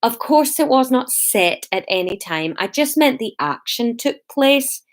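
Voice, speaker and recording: A woman puts on a mild Scottish man from a storybook. He speaks in a placating, apologetic way, pitched fairly high. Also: animated, a little slow.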